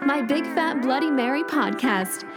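Podcast intro announcer: woman, high voice, scripted promo read over prominent guitar music. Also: animated, moderate pace. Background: plucked guitar music.

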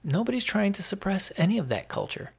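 An American man hosts a solo opinion podcast in a voice full of gravel and fry. His delivery is unscripted and halting, and he leans hard on repeated phrases to drive his opinions home. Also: high voice, very animated. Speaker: podcast host.

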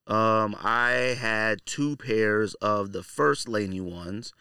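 A man's voice: lowish voice, slow, gravelly, pushed and bright, clear, resonant tone, dry recording, clean studio sound. A man hosting a casual, off-the-cuff podcast monologue, talking in a slangy, blunt, conversational way. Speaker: sneaker podcast host.

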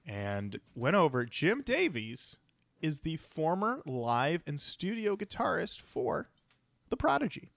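Lively male podcast host, dry close sound. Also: fairly high pitch, slow, vocal fry, no room sound. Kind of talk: casual music discussion.

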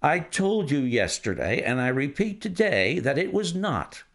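A man voicing a calm, stern male detective who speaks in a measured, authoritative way. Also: a little high, creaky, exaggerated voice.

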